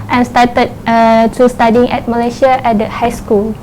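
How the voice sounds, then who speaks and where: Young thai-accented girl student, slightly high but flat voice, halting english in an interview. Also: soft background music, rough recording. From Thailand. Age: young adult. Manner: halting, searching for words. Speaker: university student guest. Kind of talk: interview answer. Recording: faint background noise.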